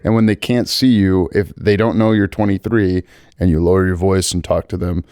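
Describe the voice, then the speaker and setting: A man's voice: sits low, fairly even intonation, a little mellow, clear, resonant tone, dry recording, clean studio sound. A man in his thirties hosting a casual interview podcast, joking and teasing his guests. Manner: joking, quick with teasing asides. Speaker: podcast host.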